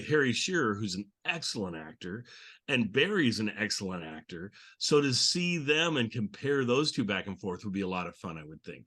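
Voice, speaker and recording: Lowish, bright-voiced man hosting a chat. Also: husky. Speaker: podcast host discussing old television and radio comedy. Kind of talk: casual discussion.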